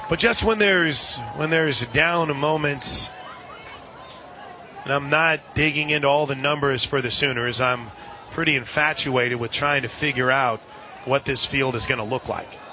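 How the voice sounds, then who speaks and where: An American man calling live softball play-by-play on the radio, slipping into conversational asides between pitches. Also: measured pace. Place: outdoor softball stadium. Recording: noisy outdoor stadium broadcast, loud crowd. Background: crowd and stadium noise.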